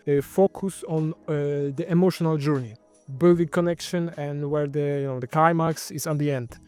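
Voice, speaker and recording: Man, Polish accent, slightly high voice, rambling commentary, faint music underneath. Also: darkish tone. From Poland. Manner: rambling, self-correcting, wry. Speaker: channel host. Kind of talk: casual monologue commentary.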